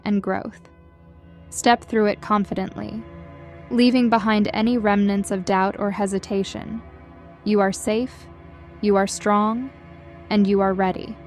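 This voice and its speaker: A woman reading a scripted guided meditation in a gentle, soothing, measured way, as a guide leading listeners. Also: medium pitch. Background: background music.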